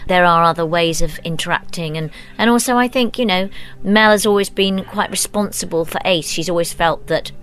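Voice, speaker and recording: A British woman with a lowish voice talks as an actress in an interview. She is thoughtful and discursive, working through her ideas as she speaks. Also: normal speed, a bit bright, smooth. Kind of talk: behind-the-scenes interview.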